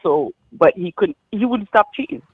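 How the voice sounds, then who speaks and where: A woman of about forty, born in Haiti, calling in to a show and recounting her life in a halting way, full of ums and restarts. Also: medium pitch, expressive, slightly rough, no echo. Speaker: caller. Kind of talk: personal story on a call-in show. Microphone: phone. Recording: dull dry phone line.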